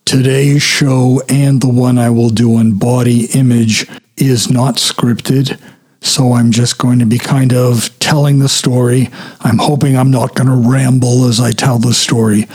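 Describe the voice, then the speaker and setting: Male podcast host with a scratchy throat, husky and unhurried, rambling through a story. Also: mid-range voice, steady tone, darkish tone. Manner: slow, chatty and descriptive. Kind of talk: unscripted storytelling monologue.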